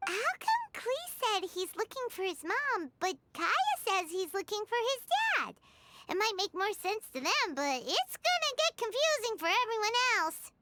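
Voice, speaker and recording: A woman voicing a tiny, cheerful fairy girl companion in a video game, with a childlike squeaky voice and exclamatory delivery. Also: very animated, normal speed, bright, forceful tone, slight background noise, heavily acted. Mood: puzzled. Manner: exclamatory, refers to herself by name. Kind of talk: video game character voice acting.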